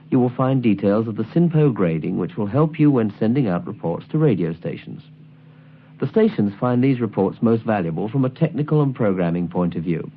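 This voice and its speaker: A man reading scripted instructional narration in a measured, precisely enunciated way, as the presenter of a tutorial album. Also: fairly low pitch. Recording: tinny, band-limited 1979 album recording.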